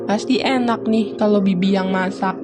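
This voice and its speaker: A woman's voice: mid-range voice, fairly even intonation, normal speed, natural voice. A woman voicing a friendly, chatty grandmother leading a family visit in a cartoon. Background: music.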